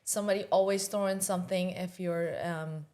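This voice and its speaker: Woman, lowish voice, podcast chat, animated, laughs easily. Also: brisk. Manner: animated, laughs at own slips. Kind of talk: casual chat.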